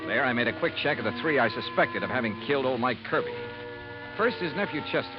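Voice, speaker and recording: Brief: male actor as clipped hard-boiled 1950s radio investigator, very lively pitch, muffled vintage recording. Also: quick pace, music in the background, lightly acted. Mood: brisk, matter-of-fact. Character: sharp, steady male insurance investigator, hard-boiled narrator. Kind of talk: 1950s radio detective acting and narration.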